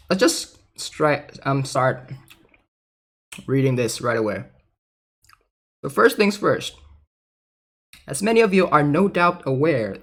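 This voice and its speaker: A man casually reading aloud and commenting in an informal, chatty way, like a gaming content creator. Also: mid-range voice. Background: background music.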